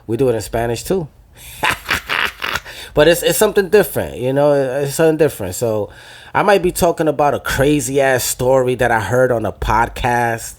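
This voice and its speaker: A man's voice: mid-range voice, moderate pace. A young man with a Dominican American accent from New Jersey, hosting a podcast in loose, profane banter that drops into Spanish. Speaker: podcast host. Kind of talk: casual banter.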